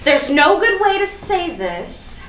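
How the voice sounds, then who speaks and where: A woman's voice: very high-pitched, big pitch swings, takes it slowly, fairly bright tone, full character voice. Woman playing snarky petty girl, indignant, stage comedy, really up and down voice, echoey room. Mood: dramatic. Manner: snarky, emphatic, dramatic. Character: petty, indignant young woman stewing over former friend. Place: live staged reading in a room. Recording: roomy, distant mic, faint noise.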